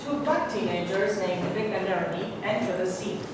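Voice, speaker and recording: A woman's voice: medium pitch, lively intonation, moderate pace. A woman reading stage directions as scripted narration at a staged play reading. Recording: very echoey hall, distant mic, clear noise.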